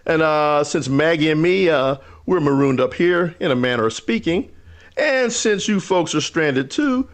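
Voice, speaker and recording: An actor playing a kindly older rural storekeeper in a radio play, folksy, halting, hospitable and a little wistful. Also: male voice, a little high. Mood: folksy, reassuring. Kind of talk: old-time radio drama acting.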